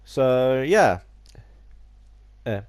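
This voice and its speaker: Gaming YouTuber with a head cold, a little nasal, stumbling and restarting sentences, mid-pitched. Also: male voice, sing-song, extremely slow, a little mellow, clear, resonant tone. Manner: rambling, many restarts and stumbles; theatrical opening greeting. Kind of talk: casual video essay.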